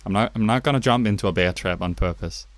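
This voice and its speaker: A man's voice: fairly low pitch, quick pace, dry recording. Jokey Danish man commenting on a game. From Denmark. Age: young adult. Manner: jokey, chatty, self-mocking asides. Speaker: gaming YouTuber. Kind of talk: let's play commentary. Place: home gaming setup, indoors.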